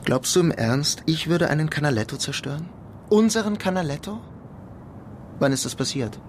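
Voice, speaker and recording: A man playing a bitter New York criminal who narrates a crime radio play in a matter-of-fact, deliberate way. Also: on the high side, lively intonation, a little hiss or hum, natural voice. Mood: guarded. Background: music behind the voice.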